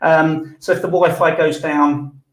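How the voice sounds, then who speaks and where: Man, panel host, chatty with fillers, somewhat high pitch, echoey room. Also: little pitch movement, a little slow. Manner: chatty, many fillers and restarts. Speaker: webinar host. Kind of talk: live panel moderation. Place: event venue room.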